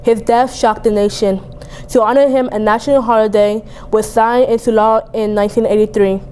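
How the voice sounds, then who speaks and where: A young teenage girl reads a school report aloud. Her voice sits slightly high with a soft, mellow tone. Faint room noise runs behind her. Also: fairly even intonation, normal speed. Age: young teen, 7th grade student. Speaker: student reader.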